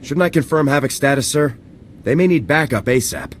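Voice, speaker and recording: Male actor as game sergeant: mid-pitched, fast-talking, firm, dutiful and confident, light ambient noise. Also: lightly acted. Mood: resolute. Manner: brisk, firm, respectful to superior. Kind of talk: video game cutscene dialogue.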